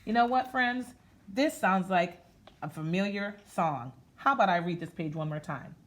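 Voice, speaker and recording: A woman reading aloud to children in an expressive, sing-song way, talking to them directly as she goes. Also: mid-range voice, normal speed. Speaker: children's story-time reader. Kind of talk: interactive read-aloud to children, part sung.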